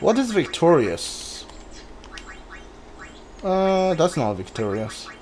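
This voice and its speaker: Mid-pitched voice, slow pace, casual gameplay commentary, hesitant, thinking aloud, faint background noise. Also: sing-song, a little mellow. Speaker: video game streamer.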